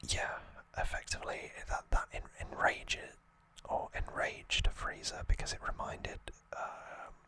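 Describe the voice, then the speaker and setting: An ASMR host whispering a rambling recap in a breathy, halting voice, correcting slips along the way, close to the microphone. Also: sharp tone. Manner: hesitant, halting phrasing with self-corrections.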